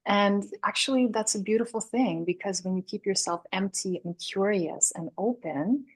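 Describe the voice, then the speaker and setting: A woman raised in Canada, a guest speaking thoughtfully and softly in an interview, laughing easily. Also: medium pitch, normal speed, husky. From Hungary, raised in Canada. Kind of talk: reflective interview conversation.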